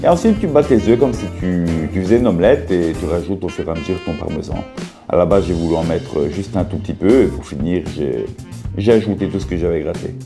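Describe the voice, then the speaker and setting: Low-pitched man, hoarse from a cold, joking chatty tone, guitar music behind. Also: expressive, fast. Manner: joking, chatty, talks straight to the viewer. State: sick with a cold, sore throat. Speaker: cooking vlogger. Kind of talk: casual cooking video narration. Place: his bedroom.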